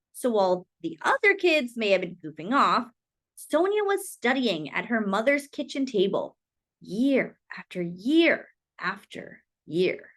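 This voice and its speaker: A woman reading a children's picture book aloud as a storyteller, with expressive, deliberate phrasing and dramatic emphasis. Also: a little high.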